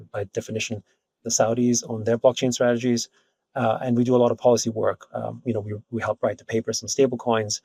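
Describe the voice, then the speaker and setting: A man from Austria, a company founder as interview guest, talking in a relaxed, rambling way with many fillers. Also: mid-range voice, gravelly, dry recording. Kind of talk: casual interview talk. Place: Hong Kong.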